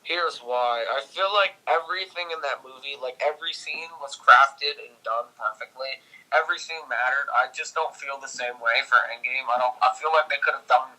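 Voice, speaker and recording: A man's voice: medium pitch, moderate pace, bright, forceful tone, poor audio. A young man in casual film discussion, opinionated and insistent, often jumping in over the other speaker.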